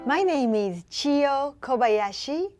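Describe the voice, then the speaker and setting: A Japanese woman in her fifties with a Japanese accent, answering interview questions earnestly with many pauses and fillers. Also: high-pitched, lively intonation, loud music behind the voice. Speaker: local businesswoman.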